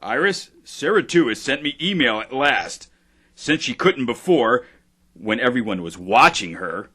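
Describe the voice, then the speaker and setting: A man playing a self-satisfied genetic engineer in an audio drama, with a brisk, clipped manner. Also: mid-range voice, lively intonation, sharp tone, breathy edge, exaggerated voice. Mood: brisk, pleased.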